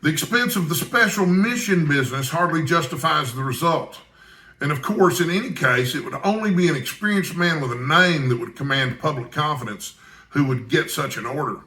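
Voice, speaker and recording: Man voicing a wry, kindly news editor, bright. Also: on the high side, lively intonation, moderate pace, reverberant. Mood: wry, musing. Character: kindly, shrewd older Scottish newspaper news editor.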